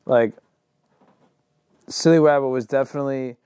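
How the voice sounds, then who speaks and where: Young rapper guy chatting about his songs, lots of "like", smooth voice. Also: medium pitch, steady tone, normal speed, a little mellow, no echo, clean studio sound. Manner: loose and rambling, heavy on "like". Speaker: rapper and singer. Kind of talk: casual interview.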